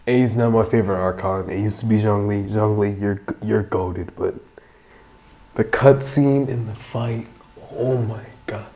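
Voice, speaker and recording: A man's voice: lowish voice, measured pace, a little hiss or hum. A man giving casual, slangy reaction commentary while playing through a game. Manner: slangy, awed exclamations. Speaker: gamer reacting to a story quest. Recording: loud game music behind the voice. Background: game music.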